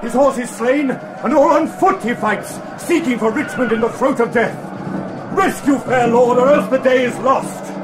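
A desperate knight, very high voice. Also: male voice, normal speed, low-quality recording. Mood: urgent alarm. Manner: urgent, shouted declamation. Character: desperate loyal knight crying for rescue in battle. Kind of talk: classical Shakespearean drama. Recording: battle crowd and music behind. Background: loud music and clamouring crowd.

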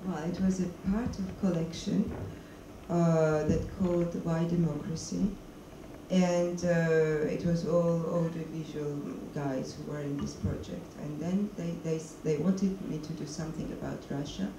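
Gravelly-voiced woman in an echoey hall. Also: deep voice, fairly even intonation, measured pace, mellow. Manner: searching for words, non-native English. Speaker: documentary filmmaker, guest. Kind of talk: stage Q&A interview. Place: stage of a screening hall, seated. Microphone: handheld mic. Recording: echoey hall, noisy, mic from a distance.

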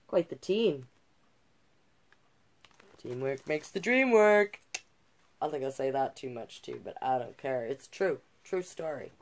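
A middle-aged woman reading a children's picture book aloud with expressive delivery and casual asides. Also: extremely low pitch, a little hiss or hum. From Canada. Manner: unhurried, expressive storybook delivery. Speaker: storytime host and drag king. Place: small cluttered room indoors.

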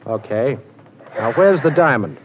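An American man playing a tough, quick-witted amateur sleuth in a 1940s radio drama. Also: mid-range voice, animated, noisy background, natural voice. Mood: commanding, cocky. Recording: muffled 1940s radio broadcast.

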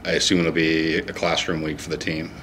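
A man's voice: a bass voice, completely flat delivery, a little fast, bright, forceful tone, a little hiss or hum. A man interviewing a coach after a game, recapping and asking questions. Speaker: sports interviewer.

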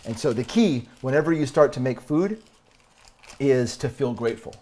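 A man's voice: medium pitch, animated, moderate pace, a little mellow. A man in his thirties, a fitness coach, talking through a meal in his home kitchen, earnest and upbeat, explaining step by step. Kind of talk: cooking demo talk to camera.

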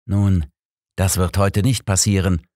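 A man's voice: sits low, level tone, unhurried, warm tone, no echo, studio quality. A man voicing a cruel, vengeful grown son who taunts his victim in an audiobook. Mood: cruel, menacing. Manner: taunting, menacing.

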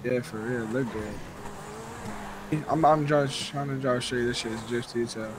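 A man's voice: medium pitch, steady tone, takes it slowly. A young man from New Jersey chatting casually and informally as a fellow player, with slang and swearing. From New Jersey, United States. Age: young adult. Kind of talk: casual gameplay chat. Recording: clear background noise, game car sounds.

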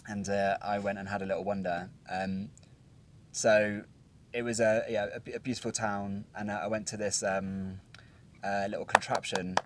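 A man's voice: lowish voice, level tone, moderate pace, background noise. A young British man recapping a trip in casual chat, rambling with plenty of ums and restarts, sitting outdoors at a hilltop viewpoint. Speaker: travel vlogger.

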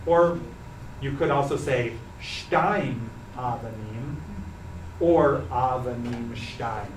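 A man's voice: mid-range voice, takes it slowly. Young male teacher in an echoey classroom. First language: English. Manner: relaxed, explains patiently with many fillers. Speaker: Hebrew teacher. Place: carpeted classroom, students seated around. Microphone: far camera. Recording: echoey room from far off, noisy.

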